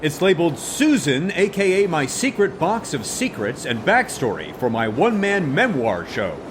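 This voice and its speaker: An actor playing a self-absorbed, theatrical struggling actor in an audio comedy drama. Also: male voice, a little high, big pitch swings, normal speed, background noise.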